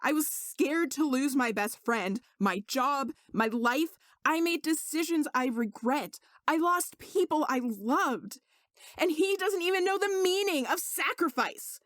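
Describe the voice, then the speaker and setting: A woman's voice: sits high, expressive, unhurried, a bit bright, no room sound, clean studio sound, pitched above the performer's own voice. A woman voicing an indignant young male servant who is secretly a sorcerer, speaking earnestly and insistently in a story reading. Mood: pleading, hurt.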